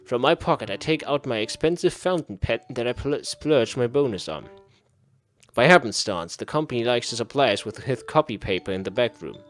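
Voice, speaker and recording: Man reading a game aloud, animated pitch. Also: brisk, faint music behind. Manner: dramatic read-aloud narration. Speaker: gaming video creator. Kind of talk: reading a visual novel aloud during a playthrough.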